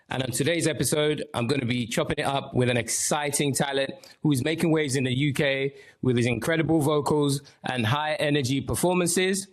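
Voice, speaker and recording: A male podcast host runs the show with upbeat, hype-style energy and sometimes fixes his own words mid-sentence. His voice sits at a middle pitch. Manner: upbeat, hype-style intros with self-corrections. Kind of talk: podcast interview hosting.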